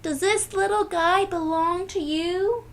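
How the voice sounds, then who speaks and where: Lady doing helpful storybook cyclist, high casual voice, friendly. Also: fairly even intonation, slow pace, exaggerated voice. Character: friendly, helpful New York bicycle rider.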